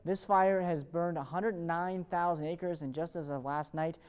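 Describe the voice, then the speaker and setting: A man's voice: on the high side, fairly even intonation, unhurried, a little mellow, clean tone. An American man presenting a morning television weather forecast in an upbeat, fluent presenter's delivery. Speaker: television meteorologist.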